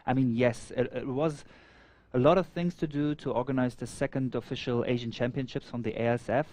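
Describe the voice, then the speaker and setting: Afghan-born man, halting friendly speech. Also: mid-range voice, fairly even intonation, darkish tone. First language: Persian. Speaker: guest co-commentator and event organizer. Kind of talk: casual commentary chat.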